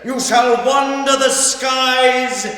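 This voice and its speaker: An actor playing a stern elder who solemnly pronounces judgement in a fantasy audio drama. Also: male voice, fairly even intonation, pushed and bright, exaggerated voice. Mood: wrathful, thunderous. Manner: slow, solemn, booming pronouncement. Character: stern high elder pronouncing banishment on a traitor. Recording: cavernous echo, long reverb.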